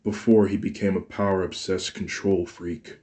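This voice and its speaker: A man voicing a gentle, grieving mother in a read-aloud fan story, speaking softly and hushed. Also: little pitch movement, moderate pace, natural voice. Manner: gentle and hushed, turns shrill when upset. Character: grieving soft-spoken mother, woman.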